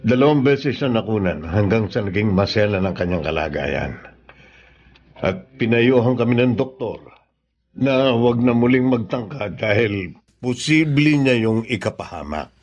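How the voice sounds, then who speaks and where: An actor playing a remorseful but firm middle-aged father in a Filipino radio drama, speaking solemnly and deliberately. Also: lowish voice, animated, a little mellow, muffled recording.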